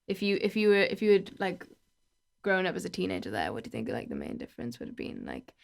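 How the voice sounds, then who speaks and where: A woman's voice: on the low side, wildly swooping pitch, fast, gravelly. A woman hosting a podcast, chatty and teasing, with plenty of "like" fillers in her casual questions. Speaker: podcast host. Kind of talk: casual interview chat.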